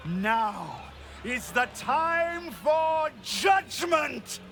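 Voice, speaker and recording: A man playing a theatrical zealot in a video game, rousing a mob with grandiose, accusing, drawn-out oratory. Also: extremely high pitch, big pitch swings, bright, forceful tone, slight background noise, exaggerated voice. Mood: menacing, righteous. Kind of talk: dramatic video game acting.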